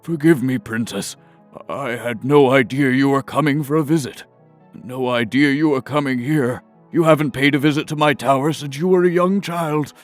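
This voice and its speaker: A man playing an earnest archmage in a fantasy audio drama, speaking formally and gravely with some hesitation. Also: mid-range voice, normal speed, faint music behind, close to an everyday voice. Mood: flustered, surprised. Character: earnest male archmage, deferential royal adviser.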